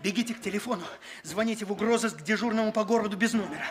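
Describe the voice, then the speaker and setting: A man playing an eager, excitable young police chief in a radio play, urgent and commanding. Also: high voice, very fast, crowd noise, natural voice. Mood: urgent, tense. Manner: urgent, barking orders.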